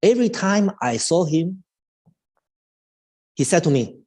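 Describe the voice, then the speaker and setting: A man in his fifties from China, a native Chinese speaker with a Chinese accent, talks as a cello teacher in a live online chat. He speaks haltingly, with many restarts and fillers. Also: fairly high pitch, very animated, mellow. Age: 50s.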